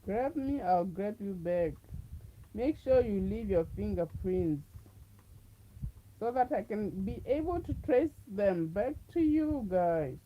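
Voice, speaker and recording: A woman with a deep voice hosting a livestream, making slow, repetitive, coaxing appeals to her viewers. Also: expressive, highs cut off, a little hiss or hum. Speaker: livestream host. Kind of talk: casual livestream chat to viewers.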